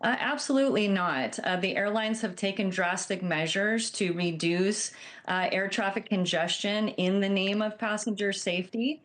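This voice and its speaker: A woman with a mid-pitched voice answers as an expert guest on a news program. She is an airline captain who explains things in a measured, earnest way. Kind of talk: broadcast news interview answers.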